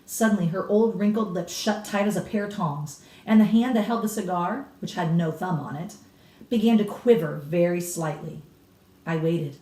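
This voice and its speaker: A woman's voice: fairly low pitch, normal speed, dark tone. A woman narrating a children's book as a read-aloud, in a roomy recording made a little away from the mic. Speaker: storybook reader. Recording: roomy echo, slightly distant mic.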